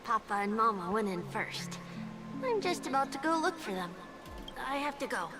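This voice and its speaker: A small innocent boy talks gently and dreamily in a high voice. The pitch swings freely, as in video game acting, with clear music behind. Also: sing-song, moderate pace. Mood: innocent, wistful.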